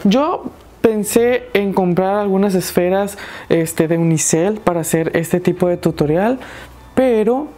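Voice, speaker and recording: A woman's voice: medium pitch, measured pace, warm tone. A young woman with a Mexican Spanish accent hosting a craft tutorial, chatting and encouraging viewers as she works. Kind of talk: casual DIY tutorial talk.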